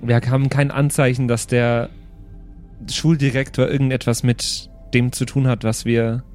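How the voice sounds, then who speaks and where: A man's voice: medium pitch, little pitch movement, normal speed, darkish tone, soft background music. A man playing a tabletop role-playing game, improvising in character and debating in a hesitant, argumentative way.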